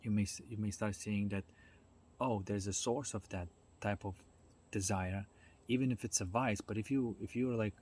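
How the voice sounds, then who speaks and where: A man giving an informal explanatory monologue, haltingly searching for his words as he goes. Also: lowish voice, steady tone, darkish tone, slightly rough. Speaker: speaker on self-development.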